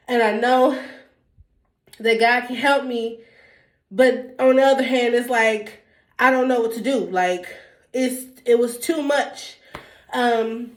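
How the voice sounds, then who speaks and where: Young woman, higher voice, stuffy nose, rambling casual talk, roomy echo. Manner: rambling and candid, many ums and restarts. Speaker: YouTuber. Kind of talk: casual sit-down talk to camera. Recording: echoey room.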